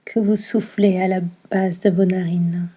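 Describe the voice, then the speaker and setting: A woman leads a guided meditation as a yoga nidra teacher. Her voice is mellow and slightly husky, with little melodic movement. She gives short, soothing instructions and leaves long, calm pauses between them. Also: fairly low pitch.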